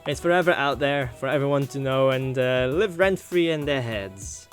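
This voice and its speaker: A man's voice: mid-range voice, animated, moderate pace. A man doing casual let's play commentary on a game, playful and opinionated as he thinks aloud. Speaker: gaming let's player. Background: game music.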